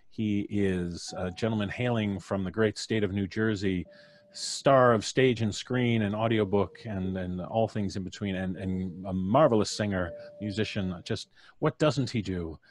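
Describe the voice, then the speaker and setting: Male host, North American accent, fairly low voice. Jovial, casual, with playfully ornate introductions. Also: a little slow. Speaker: host and showrunner of a storytelling podcast. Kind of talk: casual live-stream introductions. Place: at home, on a live video stream.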